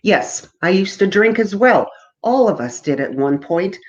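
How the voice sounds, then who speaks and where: A woman's voice: medium pitch, animated, measured pace, highs cut off, lightly acted. A woman voicing a pushy, preachy man with a solemn, instructing manner in a storybook reading. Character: pushy, preachy man with salt and pepper braid.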